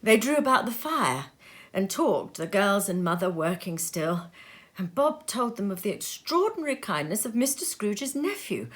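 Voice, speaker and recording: A woman narrating a storybook aloud with expressive, heartfelt delivery and a mid-pitched voice. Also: moderate pace. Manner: expressive, feeling. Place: sitting room.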